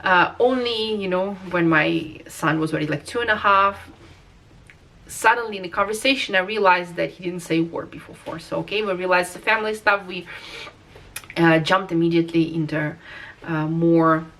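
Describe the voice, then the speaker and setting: Russian-accented woman vlogging, low voice. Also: moderate pace, a bit bright, distant mic, low-quality recording. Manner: hesitant, many uh fillers and restarts. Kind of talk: casual personal vlog.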